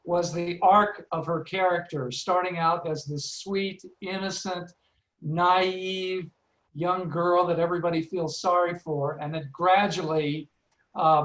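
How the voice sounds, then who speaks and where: A man hosts an online panel discussion in a roomy, echoing space. He speaks haltingly, repeating words as he moves between questions. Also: on the high side, fairly bright tone. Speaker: seminar moderator. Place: indoors, online video seminar.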